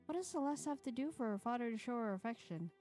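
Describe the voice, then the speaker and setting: A woman's voice: on the high side, lively intonation, fast, darkish tone, soft background music. A woman streamer reading a visual novel aloud, with playful comments and frequent laughs. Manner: playful, laughs between narrated lines.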